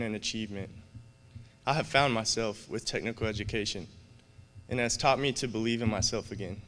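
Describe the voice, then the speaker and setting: A man in his thirties, a student award finalist, reading a measured, heartfelt prepared speech at a podium on the stage of a large event hall. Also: medium pitch, fairly bright tone, clear, resonant tone. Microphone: podium microphone. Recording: echoey hall reverb.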